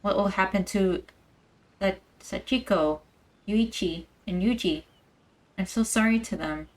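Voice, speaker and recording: A woman's voice: medium pitch, measured pace, pitched below the performer's own voice, lightly acted. A woman voicing a wounded, remorseful man reading out his last letter from a video game, subdued and weary. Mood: suffering.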